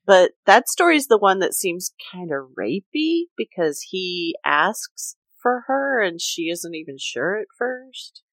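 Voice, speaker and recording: A woman's voice: on the low side, very animated, measured pace, no room sound. Woman hosting, close dry recording. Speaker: podcast host. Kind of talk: casual scripted discussion of folklore.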